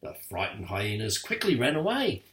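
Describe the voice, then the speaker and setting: An older man reading a bedtime story aloud to grandchildren, expressive and lively. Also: on the low side, normal speed. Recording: echoey room, far from mic.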